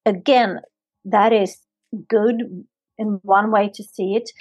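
A woman's voice: medium pitch, fairly even intonation, slow, a little mellow, dry recording, lo-fi sound. A woman from Sweden with a Swedish accent, a yoga therapist and physiotherapist giving earnest, flowing answers in a podcast interview. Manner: earnest, flowing, repeats herself for emphasis.

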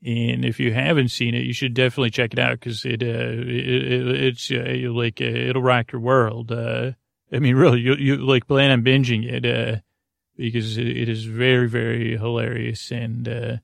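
A man's voice: mid-range voice, completely flat delivery, moderate pace, creaky, dry recording, studio quality. A man hosting a podcast in a rambling, casual monologue, hesitant, often trailing off and doubling back. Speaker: podcast host.

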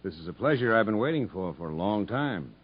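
An actor playing a grave but kindly prison captain, measured and straightforward, in a 1950s radio drama. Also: male voice, lowish voice, big pitch swings, husky. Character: grave, frank prison captain recalling a story, kindly toward children. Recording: muffled 1950s radio recording.

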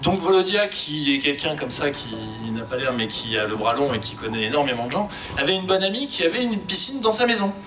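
A man speaking informally and spontaneously as a panelist, explaining technical work with halting, repeated words. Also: sing-song, fairly bright tone, soft background music. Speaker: sound fiction creator on an expert panel. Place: panel discussion before an audience. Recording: faint rumbling background noise.

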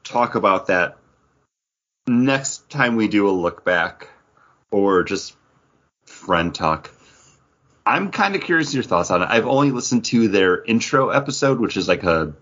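A man co-hosting a casual comics podcast, talking in an animated way full of "like" fillers. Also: fairly low pitch, measured pace, long pauses, muffled recording. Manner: animated, filler-heavy, frequent "like". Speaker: podcast co-host.

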